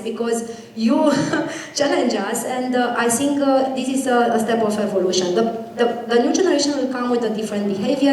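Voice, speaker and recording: Woman, halting, in an echoey hall. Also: on the high side, a little mellow. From Romania. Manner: halting, searching for words. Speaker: bank executive panelist. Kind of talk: conference panel discussion. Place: conference stage.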